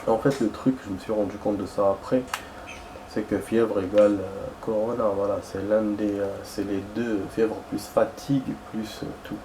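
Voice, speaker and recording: A man's voice: fairly low pitch, steady tone, normal speed, background noise. Young man rambling over his gameplay. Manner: rambling, hesitant, many fillers and restarts. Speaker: gaming streamer. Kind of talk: casual chat while playing.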